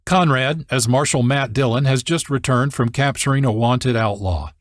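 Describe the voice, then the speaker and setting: A man's voice: mid-range voice, moderate pace, no echo, clean studio sound. An American man reading scripted narration as the host of a radio program.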